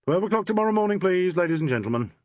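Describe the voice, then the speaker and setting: A man voicing a brisk, obliging stage manager calling the company. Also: sits high, big pitch swings, no room sound, muffled recording, voice pushed up.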